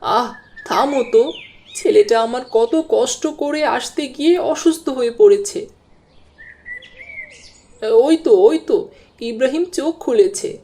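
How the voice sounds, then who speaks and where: A woman's voice: sits high, a little slow, long pauses, warm tone. A woman voicing a doting, fussing middle-aged village mother in a cartoon, with a coaxing manner. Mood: concerned. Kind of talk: cartoon dialogue acting. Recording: clear background noise.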